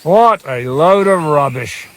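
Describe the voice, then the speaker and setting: An actor voicing a gruff, sardonic leader of an armed band in a video game, giving orders. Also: male voice, on the high side, animated, slow pace, fairly bright tone. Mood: scornful, irritated. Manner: sardonic, commanding. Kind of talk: video game character acting.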